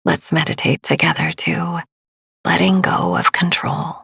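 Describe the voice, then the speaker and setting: A woman speaking in a soothing, hushed, often near-whispered voice as she guides a sleep meditation, breathy and husky with vocal fry, pausing gently between phrases. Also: fairly low pitch, steady tone, no room sound. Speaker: podcast host, meditation guide. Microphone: close studio microphone, dry.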